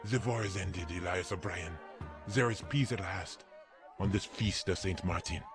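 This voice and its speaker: Actor as a low-voiced kindly German village priest. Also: male voice, normal speed, music in the background, lightly acted. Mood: joyful, solemn. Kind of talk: audio drama acting, storytelling.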